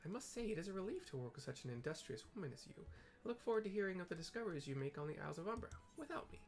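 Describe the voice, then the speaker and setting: Man putting on a haughty, fussy, creaky researcher. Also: high-pitched, lively intonation, rapid-fire, voice pushed up. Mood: disdainful. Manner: formal, disdainful phrasing. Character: haughty, reluctant scholarly researcher, fussy and self-important.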